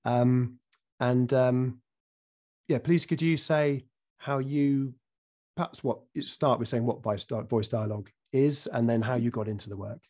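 A man hosts a podcast in a relaxed, hesitant way, his voice croaky from a recent cold. He fills gaps with ums and often restarts his sentences. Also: medium pitch, unhurried. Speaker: podcast host. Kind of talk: casual interview.

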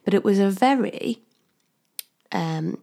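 A woman hosting her own podcast as a career coach, talking in a chatty, upbeat way straight to the listener and laughing often, her voice still slightly croaky from a cold. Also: medium pitch, expressive, slow pace, warm tone. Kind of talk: casual solo podcast monologue.

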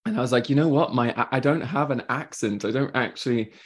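A man's voice: mid-range voice, husky. A man with a British accent, a podcast guest speaking in an upbeat, easygoing way. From Irish, British and Canadian, raised in France. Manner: upbeat, easygoing, quick to laugh. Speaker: podcast guest, spiritual mentor. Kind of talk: casual interview chat.

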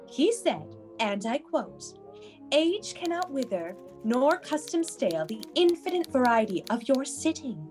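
A woman's voice: high-pitched, big pitch swings, measured pace, a little mellow, faint music behind. A woman playing a prim, coaxing matron with a theatrical manner in a comedic radio play. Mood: prim, grand.

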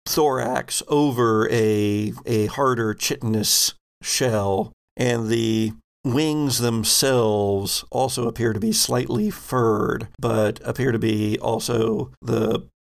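A man works as game master for a tabletop role-playing game. His delivery is slow and halting, with a creaky edge to the voice and a descriptive narrating style. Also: medium pitch, steady tone.

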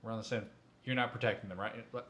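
A man's voice: fairly low pitch, steady tone, racing, a bit bright. A man co-hosting a casual, bantering chat in a crude, jokey manner. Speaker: podcast co-host.

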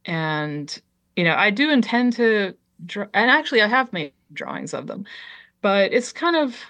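A woman's voice: mid-range voice, big pitch swings, normal speed, fairly bright tone. A woman artist chatting casually as an interview guest, hesitant and full of ums, trailing off her sentences. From Liberia.

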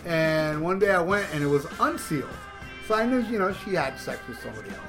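A man's voice: fairly high pitch, animated, fairly quick. Rambling, crude middle-aged man telling a story. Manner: rambling, profane, stumbling restarts. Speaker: podcast host. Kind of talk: casual storytelling. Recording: music playing clearly underneath.

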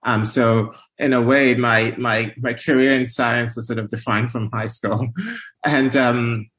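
A man answering interview questions conversationally, hesitant and often pausing to find his words. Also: medium pitch, level tone, fairly bright tone. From Nigeria. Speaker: interview guest, medical researcher.

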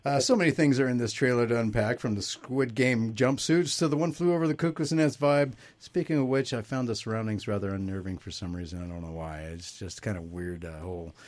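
A man's voice: medium pitch, fairly quick, few pauses. A man hosting a panel podcast, calling on guests and musing over trailers in loose asides. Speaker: podcast host. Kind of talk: casual chat moderating a panel.

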